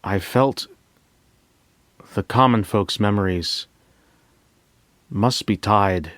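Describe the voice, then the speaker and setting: Male narrator, fairly low pitch, for first-person audio fiction as a bank manager. Reflective, deliberate pauses, close dry sound. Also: a bit bright, no room sound. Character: bank manager recounting a memory heist.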